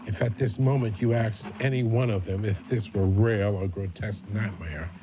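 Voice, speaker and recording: A man's voice: on the low side, steady tone, normal speed, lightly acted. A man acting as the grave narrator of an eerie radio drama, in a scripted suspense style. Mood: grave, ominous. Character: grave male storyteller of an eerie desert tale. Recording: dull, very noisy old radio broadcast.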